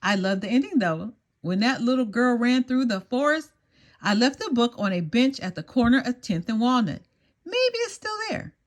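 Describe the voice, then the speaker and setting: Storybook role: woman acting a brisk female gym teacher, high, chatty, animated. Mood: breezy.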